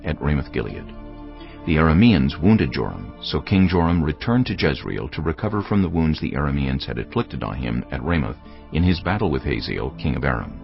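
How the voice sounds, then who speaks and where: A man's voice: rumbling low, creaky. A man narrating scripture solemnly for a dramatized audio production. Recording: dull lo-fi audio with music.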